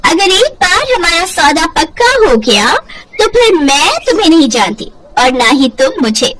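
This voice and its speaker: A cold, shady woman dealer pitched very high, as a female narrator puts her on. She is firm and businesslike, warning deliberately in moral-story drama style. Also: very animated, a loud crowd, full character voice, rough recording. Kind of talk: melodramatic moral-story voice acting.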